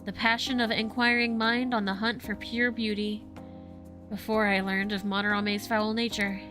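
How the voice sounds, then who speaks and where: An actor playing a refined, earnest young male art student in a video game, speaking in formal, measured phrasing. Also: high-pitched, flat delivery, music in the background, close to an everyday voice. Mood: solemn.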